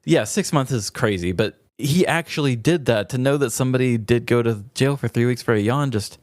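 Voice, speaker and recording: A man co-hosting a podcast, chatting casually and reacting with exclamations to his co-host's story. His voice sits at a mid pitch. The sound is dry and close, with no room echo. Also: a little fast. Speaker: podcast co-host.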